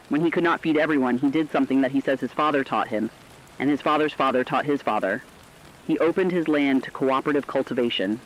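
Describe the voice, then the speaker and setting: A man reading a scripted human-interest news report in a plain, measured storytelling manner. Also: medium pitch, highs cut off. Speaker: radio feature reporter.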